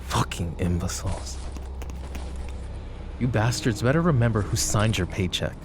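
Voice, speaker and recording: A man playing a suave, possessive mafia boss in an intimate roleplay, speaking with mock courtesy and a teasing drawl in a low, gravelly voice. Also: lively intonation, a little slow, background noise. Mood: angry, commanding. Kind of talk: roleplay ASMR acting, intimate address to listener.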